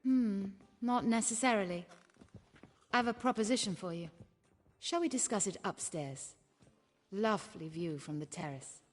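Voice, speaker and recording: An actress voicing a poised, cunning noblewoman in a video game, genteel, measured and persuasive. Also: mid-range voice, expressive, natural voice. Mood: inviting. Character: poised, cunning noblewoman schemer, coaxing and sly. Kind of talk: video game dialogue acting.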